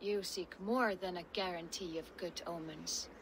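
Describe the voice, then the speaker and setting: A woman's voice: on the low side, fairly even intonation, measured pace, a little hiss or hum, poor audio. A woman playing a solemn, cryptic Norse seeress in a video game, speaking portentously in archaic phrasing. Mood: grave, cryptic. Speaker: seer character in a video game. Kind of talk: dramatic video game acting.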